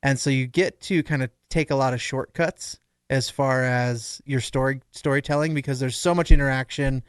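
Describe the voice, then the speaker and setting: A man's voice: mid-range voice, fairly even intonation, normal speed, clean tone, no room sound. A man in a casual podcast discussion, analysing and explaining as he thinks aloud.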